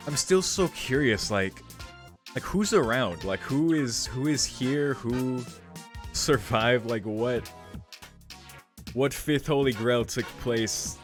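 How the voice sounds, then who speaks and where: A man's voice: mid-range voice, slow pace. A man doing a casual game playthrough, reading text aloud and commenting, with game music underneath. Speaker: game streamer.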